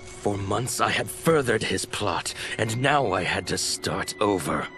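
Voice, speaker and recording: Low, slow, bitter schemer. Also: male voice, lively intonation. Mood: bitter, grim. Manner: grim, dramatic. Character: bitter, desperate man fighting to free his people. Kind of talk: scripted game story narration. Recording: music behind the voice.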